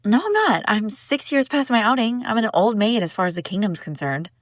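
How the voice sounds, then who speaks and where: A woman's voice: on the high side, lively intonation, fairly quick, dry recording, natural voice. Haughty lovesick young princess, acted by a woman, close and dry. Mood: indignant, proud. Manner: teasing, indignant, sighing, breaking off mid-sentence. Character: haughty young princess bride, sharp-tongued, secretly lovesick. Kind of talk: intimate scripted audio roleplay addressed to listener.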